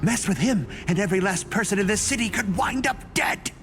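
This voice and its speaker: Game bit part, actor as alarmed citizen: incredulous, scolding, very lively intonation. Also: mid-range voice, fairly quick, soft background music, lightly acted. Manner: agitated, incredulous. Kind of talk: scripted game drama.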